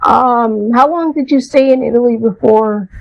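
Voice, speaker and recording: A woman's voice: a little high, normal speed, gravelly. A woman hosting a casual music interview, speaking with a hesitant manner full of fillers. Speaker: podcast host. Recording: dull lo-fi sound.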